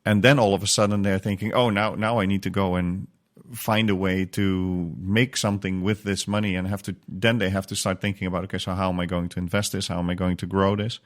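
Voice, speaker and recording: A deep-voiced man with a Dutch accent speaks in a conversational style. He is measured and reflective, now and then searching for the right word. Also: little pitch movement. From Netherlands. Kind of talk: conversational, personal account.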